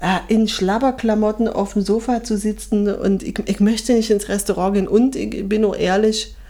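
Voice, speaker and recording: A woman's voice: mid-range voice, steady tone, normal speed, warm tone. A woman co-hosting a podcast in casual chat, playful and chatty, jumping from topic to topic. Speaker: podcast co-host. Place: hotel room.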